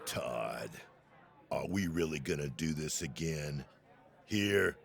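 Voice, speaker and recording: A man playing a large, arrogant orc gang leader, slow and threatening, with a gravelly voice, in an audio drama. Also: very deep voice, expressive, exaggerated voice. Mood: menacing. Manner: slow, threatening, mock-polite.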